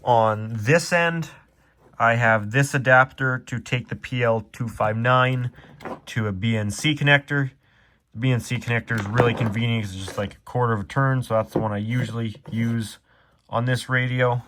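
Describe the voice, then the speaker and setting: A man's voice: mid-range voice, fairly even intonation, normal speed, fairly bright tone, slight background noise. Casual, self-deprecating man explaining things. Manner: rambling, self-deprecating, candid. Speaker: hobbyist video presenter.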